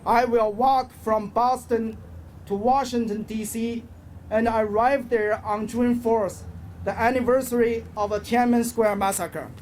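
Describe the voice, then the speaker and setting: Male activist speaker, slow and halting prepared address, outdoor rally with street noise. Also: extremely high pitch, fairly even intonation, a little mellow. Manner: measured, halting phrasing with pauses.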